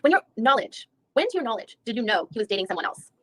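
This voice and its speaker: A woman reads a dogged female attorney working through a deposition. She fires off methodical questions at a very quick clip, often restarting mid-sentence. The sound is close and dry. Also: a little high, very animated, a bit bright, no echo. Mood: probing. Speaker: reader in a deposition reenactment. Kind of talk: read-aloud legal reenactment.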